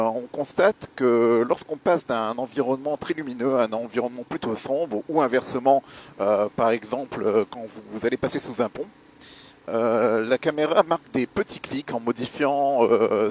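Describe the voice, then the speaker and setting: A man giving a spoken gear review as a motovlogger, explaining things in a measured, hesitant way with pauses. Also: mid-range voice, a little hiss or hum. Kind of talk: spoken product review while riding.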